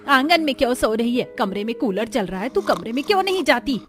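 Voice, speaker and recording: A woman's voice: on the high side, very animated, quick pace. Woman acting a firm, caring mother-in-law. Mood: coaxing.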